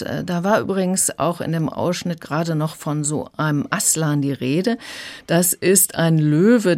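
A woman's voice: low-pitched, a little mellow. A woman speaking as an audiobook reviewer, explaining in a radio conversation.

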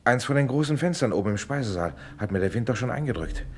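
An actor playing a worried, courteous hotel owner in a radio drama, speaking in an educated, businesslike way. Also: male voice, mid-range voice, expressive, fast talker, a little mellow, lightly acted. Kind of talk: stage-style German radio drama acting. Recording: noticeable background noise and ambience.

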